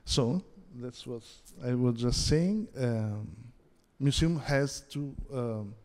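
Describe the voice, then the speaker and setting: Slow halting man, echoey hall. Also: mid-range voice, darkish tone. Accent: Italian. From Italy. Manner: hesitant, many fillers and restarts. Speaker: conference panel speaker. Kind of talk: halting conference presentation. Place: theatre hall. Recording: long hall reverb.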